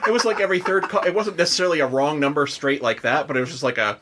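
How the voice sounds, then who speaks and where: A man's voice: a little high, rapid-fire, noisy background. A man hosting a podcast, chatting casually in a loose, informal way peppered with "like" and "you know". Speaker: podcast host. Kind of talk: casual chat.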